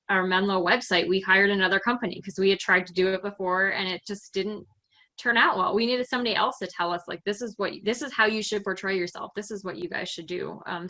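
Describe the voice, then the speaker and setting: A woman's voice: mid-range voice, pushed and bright. A middle-aged woman, a guest in a casual interview, chatty, peppering her speech with "like" and laughing easily. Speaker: interview guest, software company employee. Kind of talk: casual conversation.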